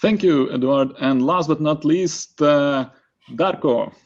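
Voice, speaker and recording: A man moderating a panel discussion informally, speaking in a halting, hesitant way. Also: on the high side, darkish tone, lo-fi sound.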